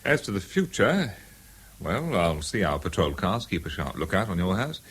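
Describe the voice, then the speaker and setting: Husky, low-voiced English police sergeant. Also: male voice, very animated, fairly bright tone, full character voice. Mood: official, calm. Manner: polite, measured, official. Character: courteous, methodical male English police sergeant. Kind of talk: 1970s British radio drama acting.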